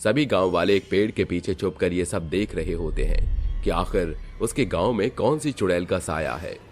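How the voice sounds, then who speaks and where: A man narrating a cartoon horror story in steady storybook style. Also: low-pitched, normal speed, a little hiss or hum.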